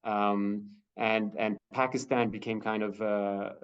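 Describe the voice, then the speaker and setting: A lower-voiced man speaks over a video call, hesitant and full of restarts as he answers career questions. The call audio sounds dull and muffled. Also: level tone, clear, resonant tone. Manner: halting, many fillers and restarts. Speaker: humanitarian spokesperson.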